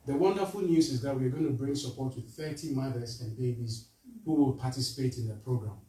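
A man from Ghana with a Ghanaian accent, giving an earnest charity appeal to a church, halting with fillers and restarts. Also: mid-range voice, warm tone. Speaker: charity representative speaking to a church. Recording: roomy echo, voice from far off.

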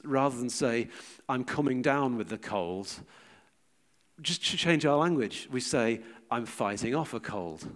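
A middle-aged British man speaks as a church teacher in a mid-range voice. He talks informally and reflectively, often pausing and feeling for his words as he shares personal anecdotes. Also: medium pitch.

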